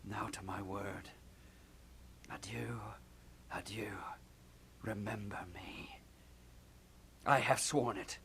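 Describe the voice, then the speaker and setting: Guy playing young prince in puppet shakespeare, low voice, unhurried, brooding and declamatory. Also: fairly even intonation, a bit bright, slight background noise. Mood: haunted resolve. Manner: declamatory, dramatic. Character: brooding, anguished young prince bent on revenge. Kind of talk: theatrical puppet-show Shakespeare acting.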